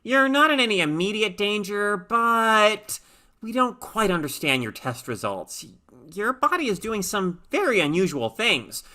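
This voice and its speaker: A woman voicing a calm, evasive male doctor in a story reading, measured, reassuring and professional. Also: medium pitch, pitched above the performer's own voice. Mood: reassuring, guarded.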